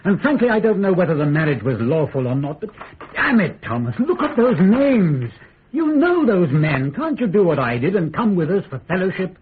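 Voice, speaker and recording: An actor playing a blunt, well-meaning aristocrat in a radio drama, plain-spoken and blustering. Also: male voice, high voice, very animated, normal speed. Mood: exasperated, pleading. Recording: narrow, noisy radio recording.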